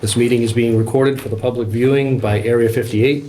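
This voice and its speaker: A man chairs a town board meeting and reads its formal opening in a measured, plain way. His voice sits at an ordinary middle pitch. He speaks into a table microphone, with faint noise in the background. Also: fairly even intonation, dark tone, poor audio.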